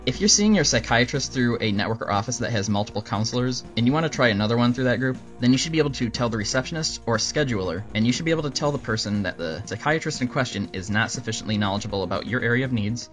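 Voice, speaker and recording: A man reads scripted answers as a show host at a brisk clip. His delivery is dry and deadpan, with music playing underneath. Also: mid-range voice. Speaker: host answering viewer questions. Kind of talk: scripted Q&A narration with deadpan jokes.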